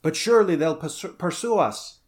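A man's voice: a little high, expressive, moderate pace, voice pushed up, lightly acted. A man voicing a superstitious, shaken corsair, urgent and defensive, in a pirate adventure story.

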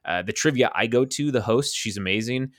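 A man talks loosely on a podcast, rambling through his thoughts with easy self-deprecation. His voice sits at an ordinary pitch. The recording is dry, with no room sound. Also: fairly quick, fairly bright tone, no echo. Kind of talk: casual chat.

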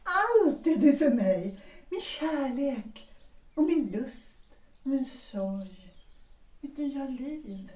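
An actress playing an ardent, earnest former opera singer in love, speaking with dramatic romantic phrasing in a period audio drama. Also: female voice, high voice, sing-song, a little mellow. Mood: melancholy ardour. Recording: roomy, distant from the mic.

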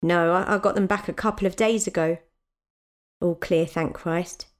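A woman's voice: lowish voice, steady tone, normal speed, close to an everyday voice. A woman playing a busy mother of four in an audio drama, wry, patient and dry in her delivery. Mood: chatty, confiding. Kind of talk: naturalistic audio drama dialogue.

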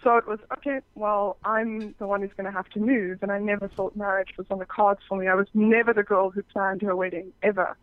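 A woman's voice: mid-range voice, flat delivery, brisk, few pauses, no room sound. A woman from Africa, a guest chatting frankly and casually about her work, with many restarts. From South Africa. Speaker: guest, phone sex operator. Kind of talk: casual interview chat. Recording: dull muffled phone-like sound.

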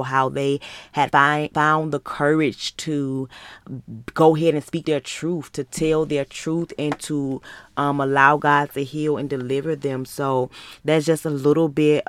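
Female podcast host, thirties, American accent. Low-pitched, smooth and resonant, earnest motivational delivery. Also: no room sound. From Michigan, United States. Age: 30s. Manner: earnest, encouraging, conversational. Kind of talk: personal podcast monologue, faith-based motivational talk.

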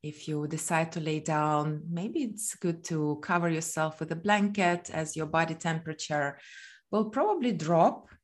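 A woman leading a guided body scan meditation in a soothing, gentle manner, leaving long pauses between phrases. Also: low voice.

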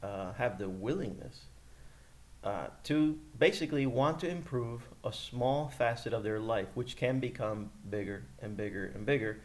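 A man's voice: mid-range voice, normal speed. A man co-hosting a casual podcast chat, easygoing and joking. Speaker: podcast co-host.

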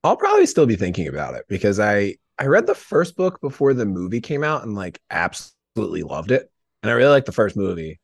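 A man chatting casually as a podcast guest in a rambling, animated, slangy way. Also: on the low side, a little fast, vocal fry, breathy edge, no echo. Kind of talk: casual chat.

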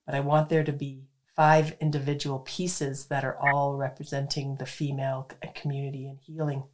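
A woman's voice: extremely low pitch, little pitch movement, moderate pace. A woman hosting a radio show, chatting enthusiastically with her guest and laughing easily. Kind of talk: casual interview chat.

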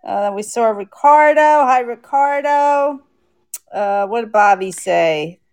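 A woman with a New Jersey accent co-hosts a live podcast at an unhurried pace. She reads out listener comments in a casual way, with a voice pitched a little high. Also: expressive, a little mellow, smooth. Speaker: podcast co-host.

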